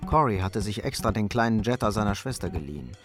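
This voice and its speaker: A man's voice: fairly low pitch, lively intonation, fast, music in the background, lightly acted. A man narrating a crime radio play in a sober, scripted storytelling style.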